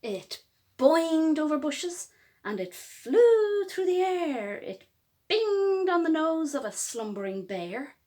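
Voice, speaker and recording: A woman reading a rhyming picture book aloud to children, expressive and dramatic, with emphatic pauses and drawn-out words. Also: high voice. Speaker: children's storytime reader.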